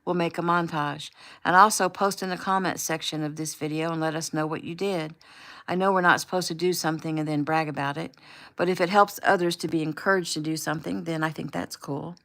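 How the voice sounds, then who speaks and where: A woman's voice: deep voice, fairly even intonation, brisk, fairly bright tone. A woman voicing an earnest young man speaking to his online followers in an encouraging, easygoing way. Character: earnest young man, friendly social media personality.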